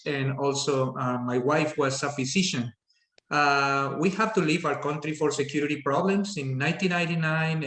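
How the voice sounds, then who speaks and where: A man in his fifties from Colombia with a Colombian accent, telling his story as a podcast guest, halting with many fillers and restarts. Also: a little high, fairly bright tone, reverberant. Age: 50s. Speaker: guest, business owner. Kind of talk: casual interview storytelling.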